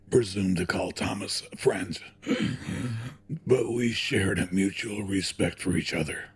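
An actor playing a sickly, wistful old corporate chairman with a frail husky voice and halting, reflective delivery in an audio drama. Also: fairly low pitch, exaggerated voice. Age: older. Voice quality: husky, frail, with throat clearing and coughs.

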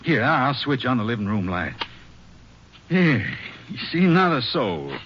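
A man's voice: medium pitch, very animated, a little slow, husky. An actor playing a folksy Texas farmer who soothes and brushes off his wife, in 1950s radio drama style. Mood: reassuring, impatient. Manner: folksy rural phrasing. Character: sleepy Texas farmer husband, dismissive and soothing. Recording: dull hissy old radio recording.

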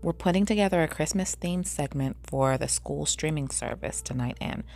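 A woman's voice: low voice, normal speed, gravelly, a little mellow. A woman voicing a determined young female student journalist in an audiobook, pointed and with restrained exasperation. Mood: defensive. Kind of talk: audiobook character voice.

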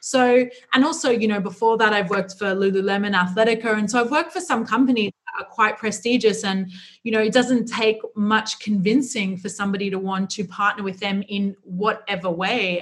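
A woman with a faint Australian accent talks as a podcast guest. Her voice sits mid-range. She chats quickly and animatedly, with plenty of "like" and "you know". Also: medium pitch, a bit bright. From New Zealand-born, raised in Australia. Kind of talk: casual interview chat.

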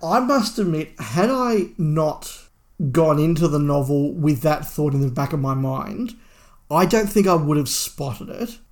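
A man's voice: fairly high pitch, lively intonation, unhurried. Guy on a podcast talking books, casual, kinda circles back on his words as he goes. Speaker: podcast co-host. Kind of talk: casual book review discussion.

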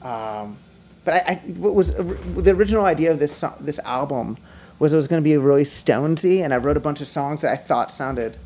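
A middle-aged man, a musician guest, chatting casually on a podcast, rambling with restarts and fillers in a high, slightly whiny voice. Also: a little fast, darkish tone. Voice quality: self-described high, whiny voice. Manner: rambling, many restarts and fillers, laughs often.

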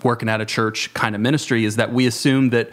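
A man in his thirties talking reflectively in a panel discussion, with halting phrasing and self-corrections. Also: medium pitch, steady tone, a bit bright. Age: 30s. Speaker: youth ministry worker.

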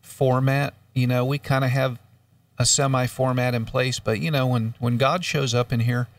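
A relaxed man co-hosts a talk show, joking and padding his lines with fillers. His voice has a gravelly fry, and he sits a little back from the microphone in a dry room. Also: mid-range voice, normal speed, dry recording, recorded from a distance. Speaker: radio show co-host. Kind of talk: casual talk show chat.

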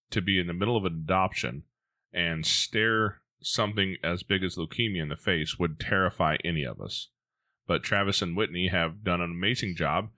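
A man's voice: low voice, sharp tone. A man with an American Midwest accent hosting a podcast in a casual, chatty interview style. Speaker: podcast host.

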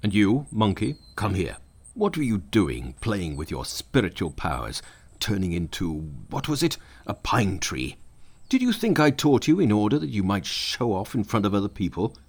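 Stern old master, read by a man, scolding, loud, slow, storybook. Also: lowish voice, big pitch swings.

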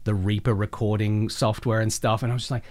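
A man speaking as a voice actor guest in a casual interview, telling anecdotes in an animated, rambling, enthusiastic way. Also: fairly low pitch, brisk.

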